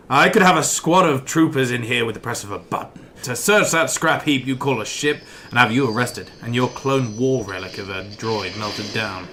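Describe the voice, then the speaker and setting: A man's voice: mid-range voice, pushed and bright, slight background noise. A man playing an officious, touchy young Imperial lieutenant in a sci-fi audio drama, clipped and pompous. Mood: indignant.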